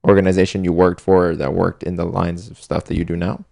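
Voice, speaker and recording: Deep-voiced, laid-back American man. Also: flat delivery, a little fast, creaky, a little mellow, no room sound, studio quality. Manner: slangy, laid-back, affirming. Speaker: interviewer. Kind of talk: casual chat interview.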